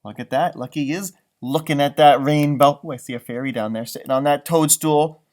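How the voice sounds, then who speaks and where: A man's voice: on the high side, brisk. A man reading a children's story aloud, adding chatty, improvised asides about the pictures. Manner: animated, chatty commenting on pictures. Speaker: storybook reader.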